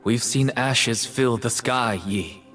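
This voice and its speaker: An actor playing a sorrowful, reflective artist mage in a video game, speaking in solemn, poetic lines. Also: male voice, mid-range voice, takes it slowly, a bit bright, soft background music. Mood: melancholy.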